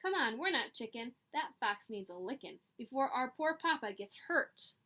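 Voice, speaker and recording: A woman's voice: a little high, expressive, moderate pace, sharp tone. A woman voicing a bold, eager young female chick in a storybook, exclamatory and determined.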